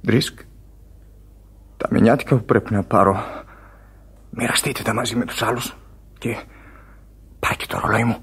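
A dying sailor, low and very husky, gravel in every phrase. He is weak and frightened, speaking haltingly and pleadingly, often sinking toward a whisper. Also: fairly even intonation, fairly bright tone, exaggerated voice. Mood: resigned, tender. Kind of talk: radio drama acting.

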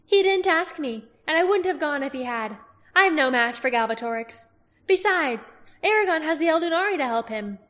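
A quirky, sharp-tongued woman herbalist in a storybook reading, put on by the woman narrating. She speaks in a high, playful, knowing way, lifted well above the reader's normal voice. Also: animated, normal speed, long reverb tail. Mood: teasing.

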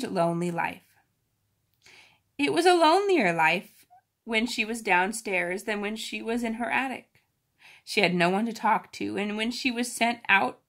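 A woman reads a children's storybook aloud in a medium-pitched voice. She puts expressive emphasis on the telling and explains things informally as she goes. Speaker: storybook reader.

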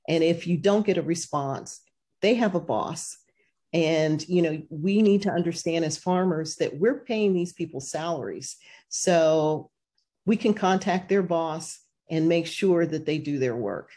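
A woman's voice: sits low, unhurried, warm tone. An older woman farmer on a video call webinar, giving earnest practical advice with frequent "um" and "you know". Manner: earnest, advisory, many fillers. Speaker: farmer panelist. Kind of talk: webinar panel answer. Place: home office with ceiling fan. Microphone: video call webcam.

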